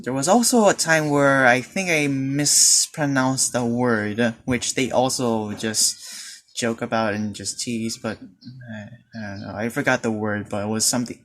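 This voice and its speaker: A teenage Filipino man telling a personal story in a hesitant, halting way, with many fillers and restarts. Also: medium pitch, a bit bright. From Philippines. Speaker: student. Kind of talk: personal confession monologue.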